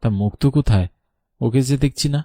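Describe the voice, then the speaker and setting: Man acting, dutiful young suitor, brisk pace, mellow timbre, dry sound, cartoon drama. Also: mid-range voice, dry recording, lightly acted. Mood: polite. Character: polite, dutiful young landlord's son, lovestruck. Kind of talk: Bengali cartoon story voice acting.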